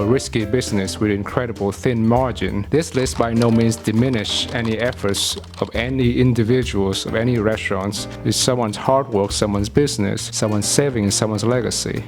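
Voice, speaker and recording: A young man with a Vietnamese accent talks through his restaurant picks in a low, measured voice. He sounds earnest and a little formal, with the odd blunt aside. Quiet guitar music plays faintly underneath. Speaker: food reviewer. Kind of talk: scripted video narration.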